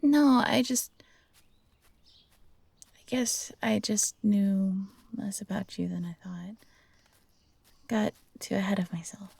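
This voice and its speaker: A woman voicing an earnest, gentle but defiant young fox-hybrid companion in an audio roleplay, speaking pleadingly and hesitantly. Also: mid-range voice, takes it slowly, creaky, pauses often, breathy edge, no room sound, full character voice.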